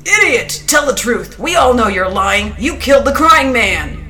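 A woman's voice: on the high side, sing-song, measured pace, bright, forceful tone, soft background music, voice pushed up, exaggerated voice. A woman voicing a vicious, raging male voice in someone's head, bellowing hateful accusations. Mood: angry, accusing.

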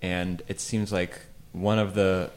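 A man playing a low-voiced, awkward lovelorn vampire caller. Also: flat delivery. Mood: glum. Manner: halting, hesitant, circling. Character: awkward, lovelorn male vampire calling an advice show. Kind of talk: improvised radio theater comedy.

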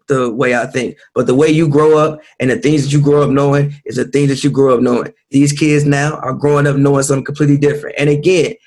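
A man with an even, flat delivery makes his case in a casual debate. He stays measured and patient, repeating his point until he gets heard. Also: mid-range voice. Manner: calm, measured, keeps insisting on being heard.

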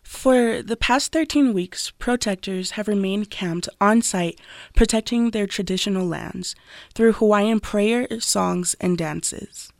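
A woman's voice: mid-range voice, takes it slowly, creaky, dry recording, clean studio sound. A woman co-hosting a radio program, reading scripted introductions and narration. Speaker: radio show co-host.

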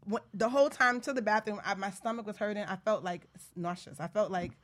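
A woman's voice: medium pitch, fast talker, a bit bright. A woman hosting a talk show, chatting casually and telling stories in a conversational way. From Bronx, New York. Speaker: radio show host. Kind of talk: casual chat and storytelling.

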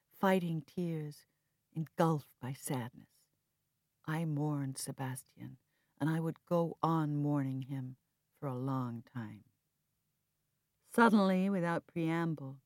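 Female audiobook reader, literary fiction, dramatic delivery, bit low pitched, no room sound at all. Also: a little mellow, dry recording, clean studio sound. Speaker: audiobook narrator. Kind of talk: dramatic first-person literary narration.

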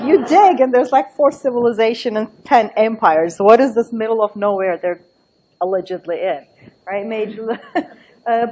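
A middle-aged woman, giving a book talk in a lively, thinking-aloud way with laughing asides. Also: medium pitch, slight background noise, rough recording. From Turkey. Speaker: invited book talk speaker. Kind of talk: academic talk with anecdotes.

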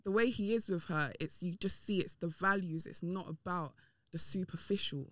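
A woman's voice: on the low side, normal speed, no echo, studio quality. A woman speaking as a podcast guest in a casual discussion, candid, emphatic and opinionated. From Dominican and Nigerian heritage.

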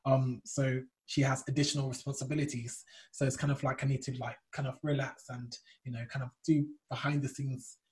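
A woman's voice: extremely low pitch, flat delivery, brisk, few pauses, darkish tone, poor audio. A young woman co-hosting a podcast, chatting in a slangy, informal way and often wandering into asides. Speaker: podcast host. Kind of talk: casual chat.

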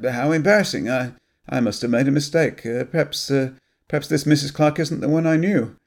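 A man's voice: medium pitch, fairly quick, pitched above the performer's own voice, lightly acted. A man voicing a frightened, ashamed older man who stammers and halts as he pleads. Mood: nervous stammering.

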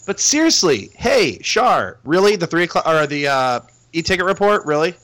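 Loud animated male co-host, dry studio sound. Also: a little high, no room sound. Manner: loud, halting restarts, laughs often. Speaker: podcast co-host. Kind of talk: casual podcast banter.

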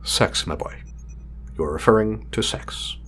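A man playing a proud, exacting craftsman of android companions in an audio drama, speaking in a formal, measured, condescending way. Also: lowish voice, a bit bright. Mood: smug, condescending. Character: pompous male craftsman of artificial companion drones, exacting, proud. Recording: clear background noise, sci-fi lab ambience.

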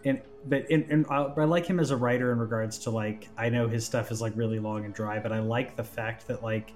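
A man's voice: mid-range voice, fairly even intonation, fairly quick, soft background music. A man talking casually as a podcast host, rambling and often restarting his phrases. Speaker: podcast host on a gaming news show. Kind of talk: casual conversational chat.

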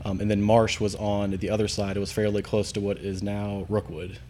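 A man's voice: fairly low pitch, flat delivery, brisk, darkish tone, slight background noise. An American man from the Cincinnati area, talking casually as a guest in an interview. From Cincinnati area, Ohio, United States. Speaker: podcast guest, amateur CrossFit athlete.

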